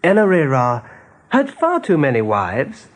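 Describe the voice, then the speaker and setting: An actor playing an articulate, companionable rabbit storyteller in a 1980s radio drama, with a husky voice. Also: male voice, a little high, wildly swooping pitch, a little slow. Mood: storytelling, playful.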